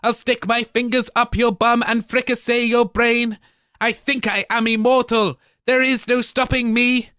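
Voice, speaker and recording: A man's voice: very high voice, flat delivery, a little slow, sharp tone, smooth, no room sound, studio quality, voice pushed up, heavily acted. A man voicing a mad, gleefully menacing robot in rhyming verse. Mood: manic.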